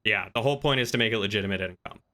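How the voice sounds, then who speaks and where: Bright, forceful-voiced man chatting on stream. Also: fairly low pitch, rapid-fire. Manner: informal explanatory rambling, thinking aloud. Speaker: livestreamer and role-play gamer. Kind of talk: casual livestream chat with viewers.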